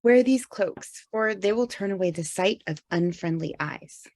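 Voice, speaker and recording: A woman plays an elf maiden in a fantasy drama reading. She is lofty, formal and knowing, with a medium-pitched female voice. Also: unhurried, a little mellow. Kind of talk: script table read.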